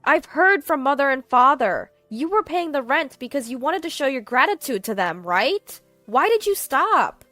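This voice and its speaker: Woman narrator playing a snide younger sister, mocking, bossy, high pitched, lively, storybook reading. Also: normal speed, hardly any pauses, dry recording, soft background music, full character voice. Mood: panicked. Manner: mocking and bossy, shrill when upset.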